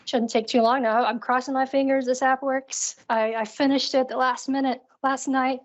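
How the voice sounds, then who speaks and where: A woman's voice: high voice, little pitch movement, moderate pace. A woman co-hosting an online call, presenting a live screen-share demo in a casual, upbeat, chatty way. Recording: dull, lo-fi online call audio.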